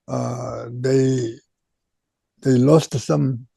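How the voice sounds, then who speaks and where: Older korean-accented guy, very gravelly, slow and halting, keeps restarting words, dry close sound. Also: mid-range voice, steady tone, dark tone, no echo. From Japan. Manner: halting, repeats words, frequent uh pauses. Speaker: retired chemistry professor. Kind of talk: oral history interview.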